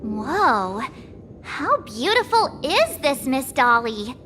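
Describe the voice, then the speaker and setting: A woman's voice: high-pitched, sing-song, slow, sharp tone, big-room sound, slight background noise, exaggerated voice. A woman playing a cute, forgetful, easily flustered schoolgirl in an anime-style game, hesitant and exclaiming. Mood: puzzled.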